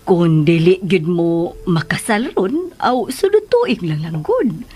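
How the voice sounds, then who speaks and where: Very husky, slow motherly Filipina. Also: lowish voice, big pitch swings, darkish tone, heavily acted. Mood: advising. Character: motherly older confidante, called Ma'am, advising calmly. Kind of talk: Filipino radio drama acting.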